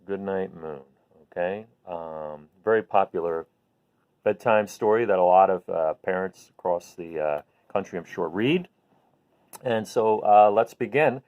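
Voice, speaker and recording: A man hosting a children's bedtime read-aloud, talking gently and simply to viewers in an unhurried way. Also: low-pitched. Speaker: host reading picture books to children. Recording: phone-like narrow-band sound.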